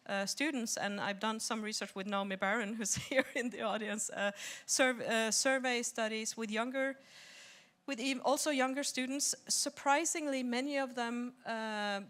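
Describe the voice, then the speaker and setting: A woman's voice: fairly high pitch, a bit bright. A woman researcher speaking off the cuff as an expert on a panel, repeating words as she reasons aloud. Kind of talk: spontaneous expert panel discussion.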